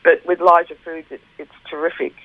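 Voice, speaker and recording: Husky woman on a phone line. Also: deep voice, level tone, brisk, no echo. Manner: enthusiastic, rambling with many fillers. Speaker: wine judge guest. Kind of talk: casual radio phone interview. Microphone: telephone. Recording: narrow tinny phone line.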